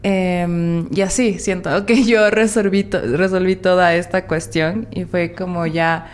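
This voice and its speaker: A woman's voice: on the low side, fairly even intonation, unhurried. A woman from Ecuador's Valle del Chota with an Ecuadorian Spanish accent, talking casually and animatedly as an interview guest. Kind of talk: casual conversational storytelling.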